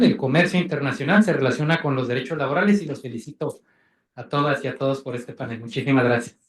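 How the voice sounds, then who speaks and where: Mexican man, fairly high voice, formal oratory, deliberate phrasing, echoey room. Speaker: labor judge commenting from the audience.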